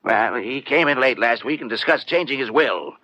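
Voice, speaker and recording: A man's voice: mid-range voice, expressive, normal speed, highs cut off, natural voice. A man playing a shifty, nervous lawyer in a vintage radio drama, fussy, hesitant and evasive. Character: shifty, nervous male lawyer with a shady past. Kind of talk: 1940s-50s radio drama acting.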